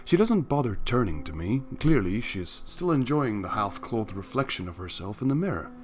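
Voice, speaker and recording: A man's voice: on the low side, big pitch swings, moderate pace, darkish tone, soft background music. A man reading first-person fan fiction aloud as a dramatic narrator. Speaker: story narrator.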